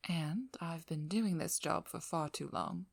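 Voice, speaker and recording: A woman playing a devious, vigilant vampire hunter in a scripted ASMR roleplay, teasing and controlling, with a breathy voice that drops into whispers. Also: deep voice, a little slow, no room sound, clean studio sound, exaggerated voice. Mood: cool, probing. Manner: teasing, playful, coolly controlling. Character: devious, vigilant female vampire hunter, flirty then businesslike. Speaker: audio roleplay performer.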